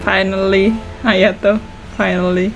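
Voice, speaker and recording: A woman's voice: medium pitch, little pitch movement, unhurried, vocal fry, soft background music, poor audio. A woman with an Indonesian accent giving casual commentary as she plays a video game. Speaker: gamer reacting while playing.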